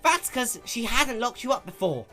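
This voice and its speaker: Man putting on a shrill, snappy old granny pony. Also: sing-song, normal speed, faint music behind, exaggerated voice. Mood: indignant. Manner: snappy, shouting, folksy rural phrasing. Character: cranky, distrustful old country granny pony, female.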